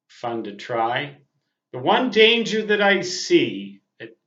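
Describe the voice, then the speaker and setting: Slow-talking older man in an echoey room. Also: medium pitch, a bit bright. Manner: deliberate phrasing with pauses, wordy, self-deprecating. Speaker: amateur radio operator and vlogger. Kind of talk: unscripted personal monologue to viewers. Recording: dull, roomy, echoing sound.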